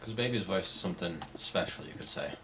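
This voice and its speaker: A man streaming a game, chatting casually and rambling, his voice slightly raspy. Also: deep voice, a little fast, noisy background. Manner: rambling, self-interrupting, laughs often. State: voice going raspy. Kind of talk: casual gaming chat. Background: background music.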